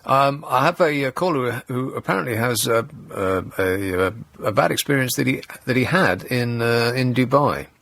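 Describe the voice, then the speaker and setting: A man's voice: mid-range voice, lively intonation, normal speed, creaky, hardly any pauses, fairly bright tone, dry recording. A man hosting a radio phone-in, reading texts and talking to callers with dry sarcasm. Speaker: radio talk show host. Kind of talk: late-night radio phone-in. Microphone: studio mic.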